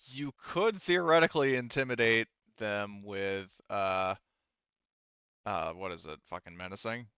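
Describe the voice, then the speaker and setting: A man's voice: on the low side, unhurried, pushed and bright, clean tone, dry recording, highs cut off. A man acting as game master for a tabletop game, talking casually with swearing and explaining the rules.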